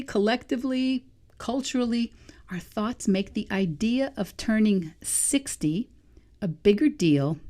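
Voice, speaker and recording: A woman's voice: medium pitch, a little mellow. A woman in her sixties hosting a solo podcast as a vegan life coach, talking in a thoughtful, musing way. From New England. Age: 60s. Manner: thoughtful, musing, self-questioning. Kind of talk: solo reflective podcast monologue.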